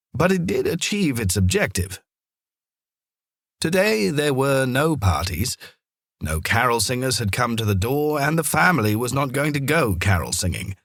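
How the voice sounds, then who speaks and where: A man narrates a scripted story in an expressive, measured way. His voice sits fairly low with a husky grain and moves through lively intonation. The sound is very dry. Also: dry recording. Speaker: storyteller, audiobook reader.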